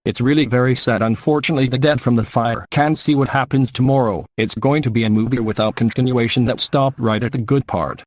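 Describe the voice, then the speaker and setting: A man playing a solemn television news anchor, reading a scripted report in a measured, explanatory way. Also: mid-range voice, steady tone, no room sound, lightly acted.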